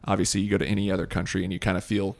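Gravelly low-voiced man hosting a chat. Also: little pitch movement, racing. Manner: friendly, backchannels constantly with "yeah". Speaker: podcast host. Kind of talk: casual chat.

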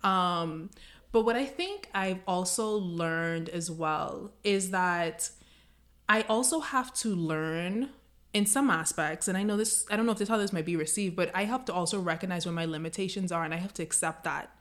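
A woman with a somewhat low voice talks in a measured, deliberate way. She is an educator reflecting thoughtfully in a relaxed podcast conversation. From the Bahamas. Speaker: educator working with international students. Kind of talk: casual podcast discussion.

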